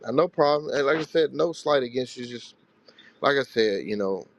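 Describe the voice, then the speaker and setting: A man's voice: medium pitch, animated, moderate pace, gravelly, no room sound, poor audio. A man hosting a live religious call-in show, answering callers in a blunt, rhetorical, streetwise way.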